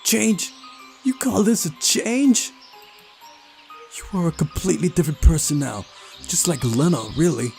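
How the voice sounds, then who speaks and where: Man playing an earnest, flustered young man, raised pitch. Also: expressive, measured pace, gravelly, long pauses, a little mellow, soft background music. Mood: exasperated.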